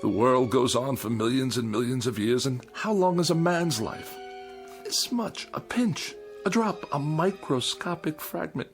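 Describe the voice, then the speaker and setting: An American actor playing a peevish, self-pitying, suspicious hypochondriac husband in a querulous, melodramatic 1960s television drama style. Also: male voice, mid-range voice, measured pace, lightly acted. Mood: despairing lament. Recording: vintage TV soundtrack with music behind. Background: music.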